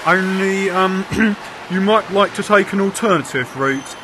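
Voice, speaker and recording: A man's voice: sits high, a little slow. A man playing a helpful police officer, polite and deferential. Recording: street noise behind.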